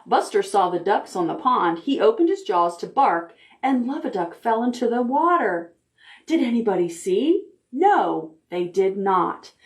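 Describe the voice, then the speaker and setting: A woman's voice: medium pitch, sing-song. A woman librarian reading picture books aloud to preschoolers, stopping to ask the children questions. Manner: gentle, deliberate storytime delivery.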